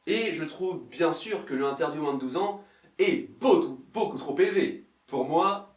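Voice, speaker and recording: A man's voice: fairly high pitch, roomy sound, recorded from a distance. A man giving a sarcastic, exasperated comedic film review in colloquial language. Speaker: film reviewer, video host. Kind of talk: comedic film review commentary, recapping a plot.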